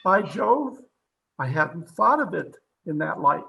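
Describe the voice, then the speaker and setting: A man playing a skeptical, brusque police captain in an old-time radio mystery, with a rough, demanding delivery. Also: fairly high pitch, sing-song, takes it slowly, pushed and bright, exaggerated voice, low-quality recording. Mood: doubtful, puzzled. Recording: home video-call recording.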